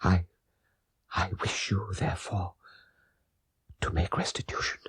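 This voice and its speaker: A man voicing a guilt-ridden, dying old father in an audiobook, halting and laboured, with a breathy voice that fades into whispers. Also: sits low, steady tone, fairly bright tone, no room sound, clean studio sound, voice pushed down, heavily acted. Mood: weak, fading. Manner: halting, laboured deathbed speech. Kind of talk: dramatic audiobook character voice.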